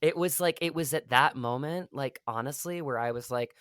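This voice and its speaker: A man's voice: medium pitch, moderate pace, fairly bright tone, clean tone, dry recording, studio quality. A man speaking as an actor guest in an interview, telling personal stories in an earnest, reflective way with frequent verbal hedging. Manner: earnest, hesitant, many "like" and "you know".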